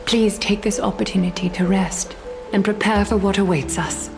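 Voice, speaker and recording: A woman playing a regal, solemn, ancient leader of heroes in a fantasy game, formal and measured. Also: medium pitch, steady tone, natural voice. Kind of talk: scripted fantasy game acting. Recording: music behind the voice.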